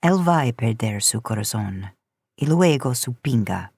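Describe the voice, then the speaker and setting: A woman voicing an older Mexican woman, gruffly kind and deliberate, in an audio story. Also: very deep voice, expressive, darkish tone, dry recording, studio quality, pitched below the performer's own voice, heavily acted. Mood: disapproving. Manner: slow, deliberate speech.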